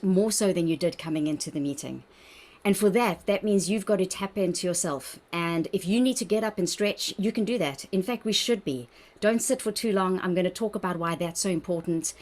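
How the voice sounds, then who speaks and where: South african woman running a zoom wellbeing session, slightly low voice, chatty and encouraging. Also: fairly quick. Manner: warm, encouraging, chatty with laughs. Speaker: workshop presenter, registered dietitian. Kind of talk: online wellbeing workshop. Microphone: video call.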